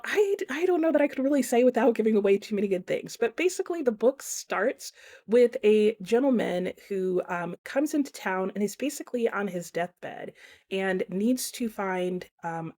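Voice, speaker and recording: A woman's voice: mid-range voice, animated, normal speed, a little mellow. A middle-aged woman giving a casual book review in a reflective, rambling way, often correcting herself.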